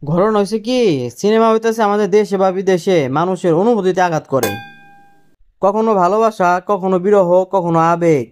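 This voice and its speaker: A man voicing a cheeky, quick-witted cartoon job candidate who explains things deferentially in colloquial Dhaka-accented Bangladeshi Bengali. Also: high-pitched, a little mellow, close to an everyday voice. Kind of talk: comic cartoon dialogue.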